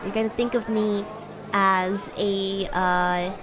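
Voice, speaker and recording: A woman livestreaming in character as a bratty, playful young demon classmate, chatting in a giggly way with drawn-out, hesitant words. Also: medium pitch, level tone, a crowd in the background, rough recording. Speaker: VTuber streamer.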